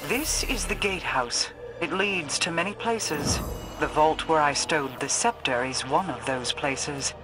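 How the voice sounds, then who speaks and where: A woman's voice: low voice, low-quality recording. A woman playing a cool, reserved queen in a video game, explaining things with formal, composed, aloof delivery. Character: regal, cool, reserved queen guiding through ancient paths. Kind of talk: dramatic video game exposition.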